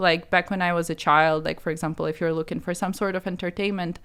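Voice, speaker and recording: A woman's voice: fairly low pitch, fairly even intonation, rapid-fire, a bit bright, clean tone. Ukrainian woman, 30s, casual talker full of "like"s, resonant clean tone. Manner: chatty, many "like" fillers, laughs often. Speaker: immigration lawyer guest. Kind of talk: casual interview chat.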